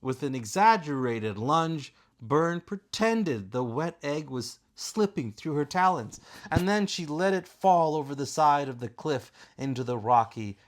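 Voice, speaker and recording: A man narrating a storybook aloud in a deliberate, expressive, dramatic way. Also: a little high. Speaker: narrator, father reading aloud.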